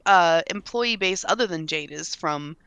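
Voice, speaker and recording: Female podcast panelist, casual, lower-pitched, clear and forward tone, a touch congested. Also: lively intonation, measured pace, fairly bright tone, no room sound. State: mildly congested. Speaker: podcast panelist discussing video games.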